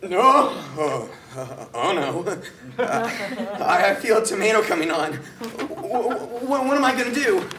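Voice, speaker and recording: A woman's voice: mid-range voice, sing-song, a little slow, a crowd in the background. Woman doing a sheepish whimpering tech guy, stammers a lot, echoey and off mic, comedy table read. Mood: sheepish panic. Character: timid, whining, sheepish male technician in comedy. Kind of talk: comic screenplay table read. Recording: echoey room, distant from microphone.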